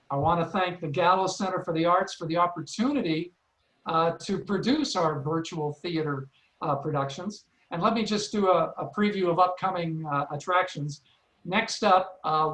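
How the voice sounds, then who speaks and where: High-pitched man moderating, in a roomy echo. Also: fairly bright tone. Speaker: seminar moderator and theatre producer. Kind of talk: moderating an online panel discussion. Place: indoor room, online seminar. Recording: roomy, echoing sound.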